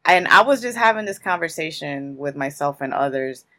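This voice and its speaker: A guest in their thirties from Newark speaks, answering in long, emphatic stories full of casual street phrasing. The voice sits at a middle pitch, neither high nor low. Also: animated, normal speed, a bit bright. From Newark, New Jersey. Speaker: guest, creative in publishing.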